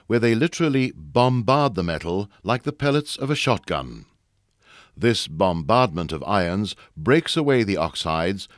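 Deep-voiced male instructional narrator, very lively intonation. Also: dry recording, clean studio sound. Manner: measured, formal explaining. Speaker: narrator of a training video. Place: close, dry studio voice-over.

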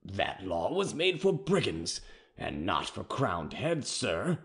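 Male narrator as a defiant imprisoned king: deep, slow, quietly scornful, lively in pitch. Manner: scornful, measured and disdainful. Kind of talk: audiobook character reading.

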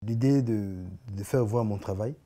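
A man in his forties with a Congolese French accent, answering interview questions in a living room, often stopping and repeating words as he searches for them. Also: fairly low pitch. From Congo (Kinshasa). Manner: halting, many repeated words and restarts, animated hand gestures. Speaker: artist guest.

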